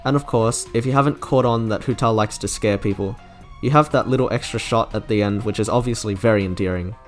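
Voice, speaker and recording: A man's voice: on the low side, fairly quick. A young man giving scripted commentary as a video game reviewer, with music playing behind him. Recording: background music under narration.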